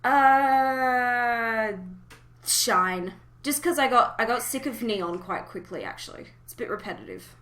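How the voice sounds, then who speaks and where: Female podcast host, chatty and informal, with a very bright, forward voice. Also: on the high side, animated, unhurried. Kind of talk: casual fan podcast chat.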